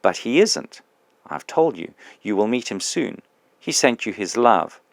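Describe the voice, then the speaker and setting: A man reading an audiobook, voicing a radiant male spirit who speaks softly, calmly and reassuringly. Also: fairly low pitch, unhurried, gravelly, no echo, heavily acted. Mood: serene. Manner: soft, calm, patient and reassuring. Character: serene radiant male spirit, gentle and joyful.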